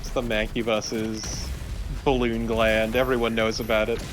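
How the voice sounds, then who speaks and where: A man's voice: on the low side, little pitch movement, unhurried, music in the background. A man co-commentating on a video game in a casual, dry, mock-serious way. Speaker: let's play co-commentator. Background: game sound.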